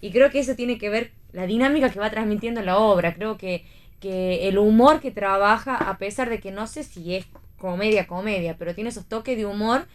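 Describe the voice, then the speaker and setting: A woman with an Argentine accent and an average pitch talks as a panelist on a theater program. She reasons out loud in a conversational way, hedging and rewording her points. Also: normal speed. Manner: thinks aloud, hedging and rephrasing. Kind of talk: casual radio discussion about theater.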